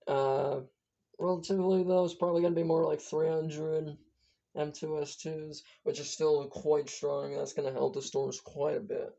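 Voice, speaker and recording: A hoarse speaker whose voice is giving out, giving a casual, rambling weather briefing as an amateur forecaster, stumbling and correcting themselves often. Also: medium pitch, fairly even intonation, moderate pace, mellow. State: hoarse, losing voice. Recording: dull lo-fi recording.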